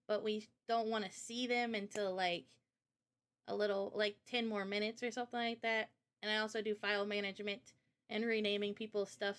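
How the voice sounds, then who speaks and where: A woman's voice: medium pitch, fairly bright tone, smooth. A woman talking casually and informally in a livestream Q&A, explaining a project as its developer in slangy everyday language. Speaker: game developer presenting a visual novel project.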